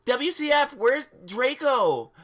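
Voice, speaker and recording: A man's voice: very high voice, expressive, takes it slowly, a bit bright, pitched above the performer's own voice, full character voice. A man voicing a melodramatic teenage goth girl, sarcastic and indignant, in a dramatic story reading.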